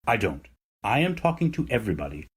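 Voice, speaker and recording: An actor playing an evasive, self-important male president in an audio drama, with a pompous, dismissive way of speaking and a husky voice. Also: fairly low pitch, big pitch swings, fast. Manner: pompous, dismissive politician's oratory. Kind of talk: audio drama acting, political interview.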